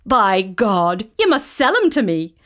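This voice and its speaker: A woman's voice: medium pitch, big pitch swings, measured pace, fairly bright tone. A woman voicing a hearty middle-aged butcher who coaxes a girl to sell her pig. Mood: coaxing. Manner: hearty and coaxing.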